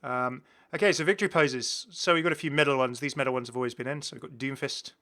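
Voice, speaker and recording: Give this a man with a bright, forward voice doing casual gaming commentary. He is chatty and rambles along, often doubling back to repeat a phrase. His pitch sits in the middle for a man. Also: quick pace. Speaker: gaming video host. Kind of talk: casual commentary on game cosmetics.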